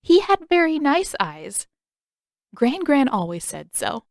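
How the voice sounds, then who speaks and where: A woman's voice: high voice, very animated, takes it slowly, darkish tone, no echo. Wry woman narrating a story, very dry sound. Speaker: narrator, fan fiction reader. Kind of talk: expressive scripted story narration.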